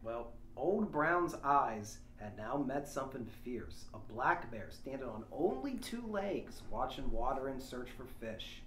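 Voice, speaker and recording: A man's voice: mid-range voice, big pitch swings, measured pace, few pauses, a bit bright. A man hosting a read-along, moving between casual chat and reading a story aloud, recorded on a small shared lapel microphone. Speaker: host of a read-along show. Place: echoey room. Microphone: small lapel microphone shared by the group. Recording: roomy echo, faint noise, small lapel mic.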